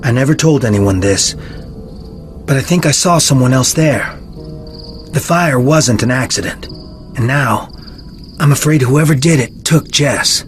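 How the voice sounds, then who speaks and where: An actor playing a guilt-laden, gentle young man in a video game, speaking earnestly and with regret. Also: mid-range voice, a little slow, long pauses, soft background music, natural voice. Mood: haunted, uneasy.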